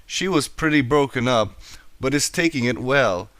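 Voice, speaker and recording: A man voicing a tired, bookish young female unicorn in a storybook reading, explaining things gently and wearily. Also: mid-range voice, expressive, moderate pace, no echo, lightly acted. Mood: sad, concerned.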